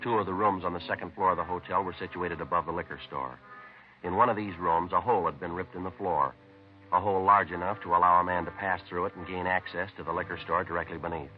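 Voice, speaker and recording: Actor as a clipped, deep-voiced deadpan police detective. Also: male voice, fast, faint music behind, natural voice. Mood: deadpan, methodical. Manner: deadpan, clipped, matter-of-fact. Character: businesslike, methodical male police detective narrating his case. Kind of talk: 1950s radio crime drama, terse narration. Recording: tinny narrow-band vintage radio recording.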